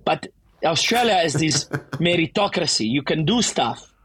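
A man's voice: a little high, animated, measured pace, fairly bright tone, breathy edge, no echo. A middle-aged man from Italy with a thick Italian accent, a bar owner talking animatedly and emphatically in a casual interview, often restarting his sentences.

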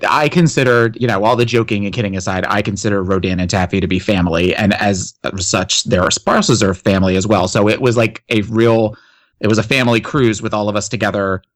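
A man's voice: lowish voice, steady tone, a little fast, no room sound, clean studio sound. A man from the Philadelphia area, a podcast co-host telling a story in an earnest, rambling way. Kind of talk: casual chat.

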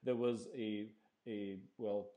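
A man hosting an interview podcast, speaking in a measured, thoughtful way and reflecting back what his guest says. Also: fairly low pitch, level tone, dark tone, dull audio. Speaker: podcast host.

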